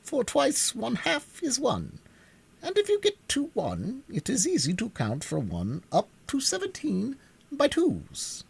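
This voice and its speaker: A reader voicing a pompous, learned male insect in a storybook, lecturing in a drawn-out way. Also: mid-range voice, huge pitch swings, gravelly, husky, pitched above the performer's own voice, exaggerated voice.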